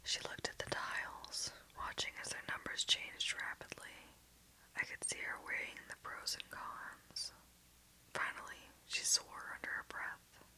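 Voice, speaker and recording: A woman telling a story in a soft, slow, soothing unvoiced whisper. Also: low-quality recording.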